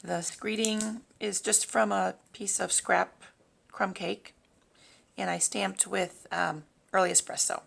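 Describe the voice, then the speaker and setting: A woman hosting a casual craft tutorial in a raspy, hoarse voice, talking informally and a little haltingly as she works. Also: mid-range voice, level tone, a bit bright. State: hoarse, throat-clearing from long talking. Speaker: host of a papercraft tutorial. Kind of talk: casual craft demonstration, talking while working.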